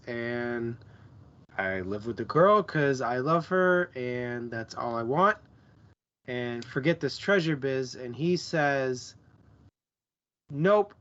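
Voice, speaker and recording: A man casually discussing books in an informal, slangy and deliberate way. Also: mid-range voice, fairly bright tone, dull audio. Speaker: book club stream co-host.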